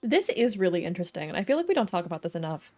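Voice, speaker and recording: A woman's voice: fairly low pitch, sing-song, very fast, a little mellow. A woman live-streaming a video game, chatting casually in a rambling, reactive way. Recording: game music behind the voice.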